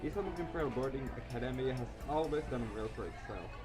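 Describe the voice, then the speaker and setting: A man's voice: medium pitch, fast talker. A man reading a visual novel aloud as a let's player, with amateur dramatic narration. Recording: loud music behind the voice.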